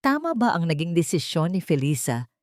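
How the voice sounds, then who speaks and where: A woman with a Filipino accent narrating a scripted dramatic story in a solemn, deliberate, literary way. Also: lowish voice, big pitch swings, no echo, clean studio sound.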